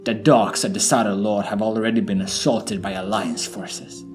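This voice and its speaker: A man's voice: lowish voice, fairly even intonation, moderate pace, soft background music, natural voice. A man voicing an urgent troll messenger who is out of breath, in a fantasy audio drama. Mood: urgent, grave.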